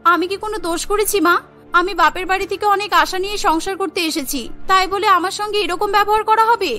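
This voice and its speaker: A woman's voice: very high voice, steady tone, a little fast, bright, forceful tone, soft background music. A woman voicing a meek, dutiful young daughter-in-law in a cartoon story, speaking politely and pleadingly. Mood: hurt, pleading. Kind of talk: Bengali cartoon story acting.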